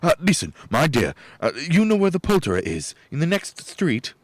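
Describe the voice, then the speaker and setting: Radio play old miser, male actor: high-ish, very animated, theatrical, stammering and exclaiming. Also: moderate pace. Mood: giddy joy.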